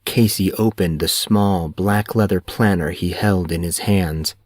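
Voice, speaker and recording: A man narrating a fantasy novel in a measured, even storytelling manner. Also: low-pitched, no echo, clean studio sound. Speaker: audiobook narrator.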